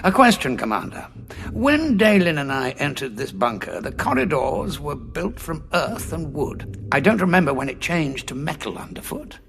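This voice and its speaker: An actor playing a calm, ironic male time traveller stranded in a war, speaking in a dry, questioning way. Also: on the high side, moderate pace, creaky, crowd noise. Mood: probing. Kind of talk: audio drama acting.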